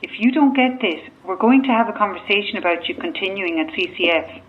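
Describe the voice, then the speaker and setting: A woman's voice: medium pitch, animated, fairly quick. A woman playing an exasperated, sarcastic talent agent in an audio drama, heard through a phone speaker. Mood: stern, scolding. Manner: clipped, sarcastic, scolding. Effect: phone speaker filter. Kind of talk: modern audio drama acting. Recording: tinny speakerphone line.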